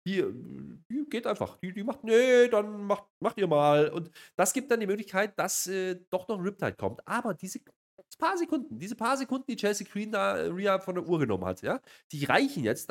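A man co-hosts a casual wrestling review at a brisk pace. His pitch swings widely as he recaps in a sarcastic, mock-enthusiastic way. The delivery is loose and unscripted. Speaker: wrestling show review co-host.

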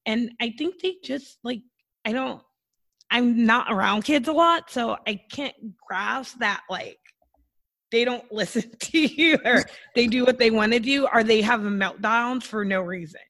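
A young woman co-hosting a podcast in casual chat, hoarse and rambling through stories. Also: fairly high pitch, unhurried, fairly bright tone. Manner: chatty, rambling storyteller. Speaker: podcast co-host.

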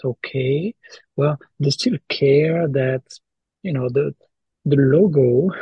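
A man's voice: medium pitch, husky, no room sound, lo-fi sound. A man hosting a podcast in casual chat, often repeating words and tagging his points with "right?". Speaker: podcast host.

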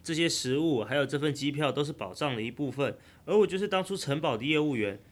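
A man voicing a calm, teasing young insurance agent in an audio drama. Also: fairly high pitch, quick pace, lightly acted.

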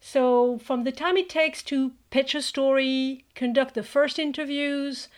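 A woman from northern France, a podcast guest chatting casually, emphatic and quick to laugh. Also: high-pitched, fairly even intonation. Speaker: managing editor, podcast guest.

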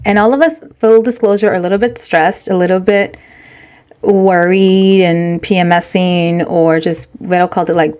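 A woman's voice: on the low side, steady tone, moderate pace, a little mellow. A woman co-hosting a podcast in casual chat, candid and switching between English and Spanish. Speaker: podcast co-host.

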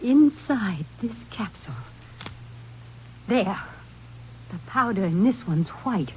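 An actress in an old-time radio drama playing a distraught, high-strung wife who insists someone wants to kill her. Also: expressive, slow. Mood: flustered. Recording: muffled vintage radio recording, faint noise.

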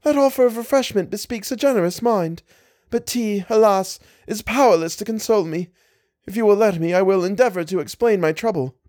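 A man's voice: brisk, a little mellow, no room sound, studio quality, close to an everyday voice. Man putting on a murmuring distressed young lady. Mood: pleading. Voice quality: low, murmuring. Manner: formal, ornate Victorian diction. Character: young distressed woman, genteel and pleading.

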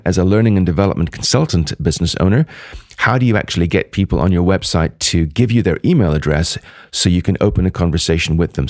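A hoarse man hosting a podcast, wrapping up a solo episode and previewing guests, talking rather quickly with frequent restarts. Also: sits low, a little mellow, dry recording, studio quality. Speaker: podcast host. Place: at a sales conference.

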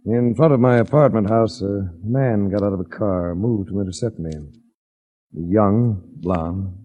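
An actor playing a cool, wry insurance investigator in a 1940s radio drama, with dry, understated, clipped delivery. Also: male voice, on the low side, measured pace, warm tone, highs cut off, a little hiss or hum, lightly acted. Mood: dry narration. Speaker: lead actor and narrator. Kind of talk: 1940s radio detective acting.

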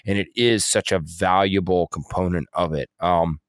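A man co-hosting a podcast in casual conversation, speaking in a halting, rambling way with frequent self-corrections. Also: low voice, steady tone, clear, resonant tone, no room sound, clean studio sound. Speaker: podcast co-host.

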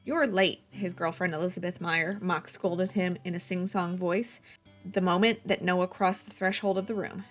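A woman's voice: fairly low pitch, fairly even intonation, normal speed, faint music behind. A woman reading a story aloud as a scripted audiobook-style narration. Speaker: guest story reader.

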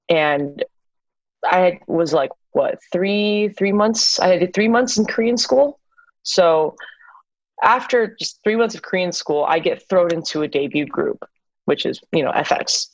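A woman's voice: on the low side, no echo, muffled recording. A young woman talking casually as an interview guest, chatty with lots of fillers. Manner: chatty, many fillers, laughs easily.

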